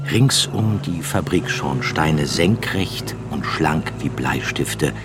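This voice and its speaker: A man narrating a literary radio drama in a measured storytelling manner, reading from a script. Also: very deep voice, heavy background noise. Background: music behind the voice.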